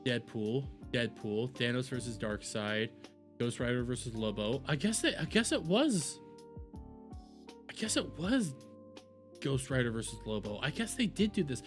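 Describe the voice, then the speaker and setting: A man's voice: mid-range voice, sing-song, normal speed, soft background music. A man doing casual live-stream commentary, rambling, repeating himself and swearing freely. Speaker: streamer reacting to videos.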